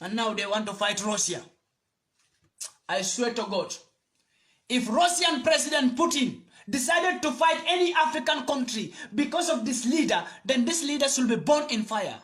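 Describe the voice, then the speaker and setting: A man's voice: very high-pitched, lively intonation, moderate pace, a bit bright. A man from Gambia, giving a fiery, preachy address to camera and repeating phrases for emphasis. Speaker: video commentator.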